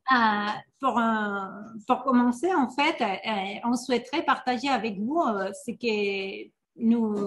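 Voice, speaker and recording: A woman agile coach presenting an online workshop, with many hesitations and restarts. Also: fairly high pitch.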